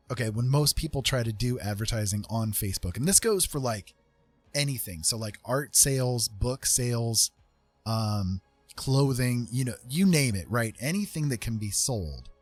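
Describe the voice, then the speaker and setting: A man's voice: medium pitch, animated, a little slow, no room sound, soft background music. A man giving casual advice to viewers as a livestream host, talking in an informal, rambling way and often checking in with the listener.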